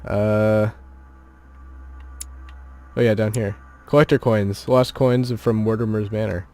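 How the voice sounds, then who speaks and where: A man's voice: on the low side, fairly even intonation, slow pace, a little mellow. A man giving casual gameplay commentary into a desk mic, chatty, laughing often and thinking aloud. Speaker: gaming channel host. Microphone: home desk mic without pop filter.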